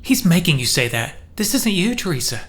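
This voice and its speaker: A man's voice: high-pitched, very animated, brisk, fairly bright tone. A man in an audio drama playing a hot-tempered, wounded lover who protests with raw emotion. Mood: hurt, pleading. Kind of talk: scripted audio drama acting.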